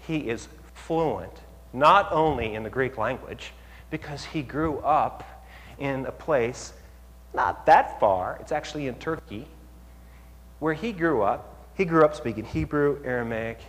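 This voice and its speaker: A male pastor giving an animated sermon, asking the audience questions and rising to near-shouts to make his points. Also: medium pitch, takes it slowly. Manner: asks the audience rhetorical questions. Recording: long hall reverb.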